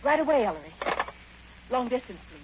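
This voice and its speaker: An actress playing an eager, inquisitive young detective's assistant in a 1940s radio drama. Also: female voice, on the high side, big pitch swings, brisk. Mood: eager, curious. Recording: muffled, hissy old radio broadcast.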